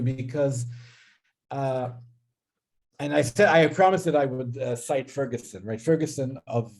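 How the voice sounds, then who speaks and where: A man's voice: on the high side, darkish tone. A man whose first language is Hebrew, giving an academic linguistics lecture, hesitant, with many fillers and restarts, often checking in with "right?". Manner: asks listeners "right?".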